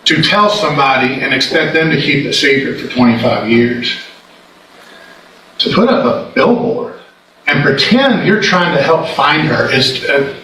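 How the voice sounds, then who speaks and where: A man speaks as a prosecutor, pressing his case with emphatic condemnation. His mid-pitched voice rings heavily around the room, picked up away from the mic with clear background noise. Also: animated, unhurried, bright, forceful tone. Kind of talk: courtroom closing argument. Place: indoor courtroom. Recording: echoey courtroom, distant mic, background noise.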